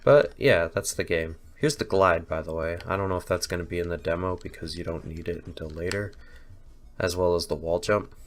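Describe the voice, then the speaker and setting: A young man with a deep, low voice, stuffed-up and nasal from a cold with a slight hoarseness. He rambles through his voice-over in a loose, self-deprecating way, full of fillers and asides. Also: fairly quick. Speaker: indie game developer. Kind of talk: casual gameplay voice-over commentary.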